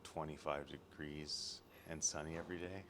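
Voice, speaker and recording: An American man hosting a relaxed interview, rambling and chatty with lots of fillers. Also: very deep voice, moderate pace, background noise. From United States. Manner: rambling, chatty, laughs often, trails off with fillers. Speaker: host. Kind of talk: casual interview. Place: room at an event in London.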